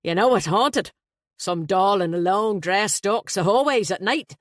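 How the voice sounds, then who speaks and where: A woman's voice: medium pitch, animated, a little slow, no room sound, studio quality, lightly acted. A woman voicing a big, jovial, chatty Irish man with a hearty, slangy, emphatic manner in an audiobook story. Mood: ominous.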